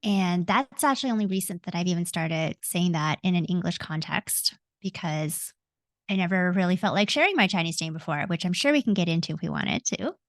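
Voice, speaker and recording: A woman's voice: on the low side, expressive, fairly quick, dry recording. A woman in her forties with a Canadian accent, a coach speaking as a podcast guest in an earnest, reflective way with frequent ums and self-corrections. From Hong Kong, raised in Canada. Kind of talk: podcast interview.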